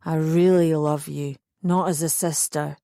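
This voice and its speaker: A woman's voice: deep voice, fairly even intonation, a little slow, creaky, dark tone, no room sound, studio quality, voice pushed down. A woman voicing a drunk young man in an audiobook, slurring as he insists and pleads. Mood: drunkenly pleading.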